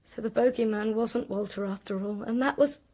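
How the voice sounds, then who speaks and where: An actress playing an anxious, articulate young British woman in a radio drama, with a precise, expressive delivery. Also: fairly high pitch, moderate pace. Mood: tense recounting. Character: anxious, articulate young niece recounting a crime.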